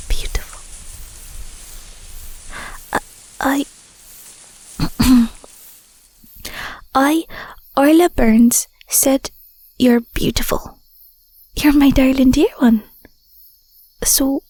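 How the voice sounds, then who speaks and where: A woman voicing an affectionate, bashful young Scottish werewolf girlfriend in an intimate audio roleplay, soft, whispery and a little hesitant, close on the mic. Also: high-pitched, drawn-out words, pauses often, no room sound. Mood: teasing, loving. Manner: hesitant, stammering, murmuring endearments. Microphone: close microphone.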